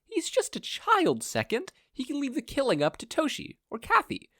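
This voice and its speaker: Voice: high voice, huge pitch swings, normal speed, fairly bright tone, dry recording, clean studio sound, pitched above the performer's own voice, heavily acted. A performer voicing a motherly woman ghost mentor who chides protectively. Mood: scolding. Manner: chiding, protective.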